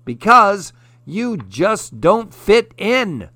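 Sly greedy fox villain, voiced by a man, storybook style, taunting, with a high lilting delivery. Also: big pitch swings, drawn-out words, bright, forceful tone, no room sound, heavily acted. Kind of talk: children's storybook character voice.

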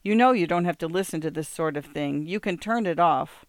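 A man's voice: a little high, a little fast, no room sound, clean studio sound, natural voice. A reader voicing a tired, exasperated husband who speaks curtly and wearily to his distraught wife. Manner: weary, curt, irritated.

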